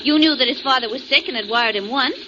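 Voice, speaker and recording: An American actress playing a playful, inquisitive young companion to a detective in a 1940s radio drama. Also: female voice, sits high, fast talker, lightly acted. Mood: eager. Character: bright, teasing young woman, detective's admiring companion. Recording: noisy, muffled 1940s radio recording.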